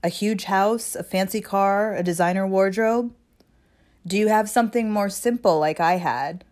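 A woman with a cold, her voice raspy and her nose stuffed, hosting a solo podcast and pausing for breath as she talks. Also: on the low side, a little mellow. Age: 20s. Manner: pauses to catch breath. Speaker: podcast host.

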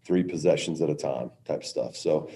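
A middle-aged man, a women's basketball coach, answering press questions in a halting way with many restarts. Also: very deep voice, gravelly, mellow.

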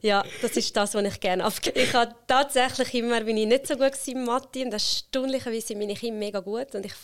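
A middle-aged woman speaks with a Swiss German accent in a relaxed interview, her voice smooth and clear. She talks loosely, full of fillers and easy laughter. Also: fairly high pitch, quick pace. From Switzerland. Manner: chatty, many fillers, laughs often. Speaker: interview guest, HR consultant. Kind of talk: casual interview chat.

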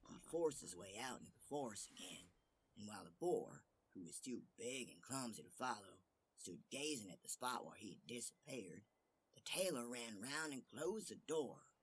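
A man narrating a fairy tale expressively, dramatic, often dropping to hushed phrase endings. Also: fairly low pitch, slightly rough. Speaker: storyteller.

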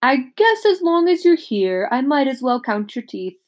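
A jovial middle-aged dentist, voiced by a woman reader, bright and teasing with a playful lift. He coaxes a stubborn child along in a storybook scene. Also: high-pitched, sing-song, moderate pace, pitched above the performer's own voice.

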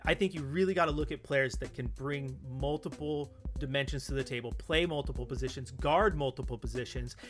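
A man's voice: mid-range voice, brisk, few pauses, a bit bright, soft background music. A man in a casual sports podcast discussion, emphatic and opinionated, repeating points for stress. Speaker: podcast co-host and basketball analyst.

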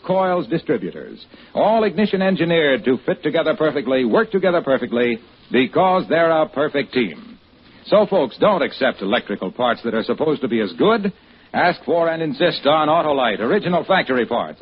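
A man announcing and pitching a sponsor in polished, emphatic 1940s radio style. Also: breathy edge. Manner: polished, emphatic salesman delivery. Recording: muffled, hissy old radio recording.

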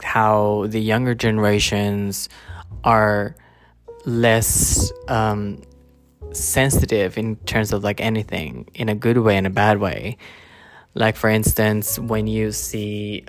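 A podcast host speaking casually and unscripted in a hesitant, rambling, informal way. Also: medium pitch.

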